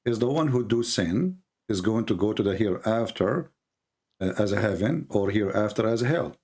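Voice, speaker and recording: A man's voice: lowish voice, expressive, moderate pace, darkish tone, slightly rough. A man whose first language is Arabic, hosting an argumentative live debate in non-native English, insistently repeating his phrases. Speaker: host, religious debater.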